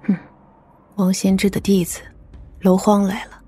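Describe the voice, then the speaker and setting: An actress playing a proud, cold, scornful martial-arts master in a Mandarin audio drama, with a haughty, disdainful delivery. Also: female voice, mid-range voice, monotone, moderate pace, warm tone, breathy edge, natural voice.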